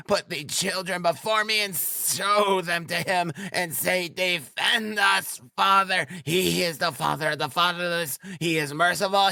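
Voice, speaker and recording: A man voicing a frantic, proud, sickly mother in an audiobook, shouting, pleading and scolding in a shrill voice. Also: creaky, no echo, heavily acted. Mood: desperate, pleading. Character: frantic proud consumptive mother, ragged and hysterical.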